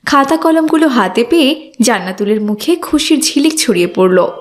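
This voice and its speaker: A woman reads scripted narration for a moral cartoon tale. Her voice sits fairly high, and she tells it as a storyteller. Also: animated, normal speed.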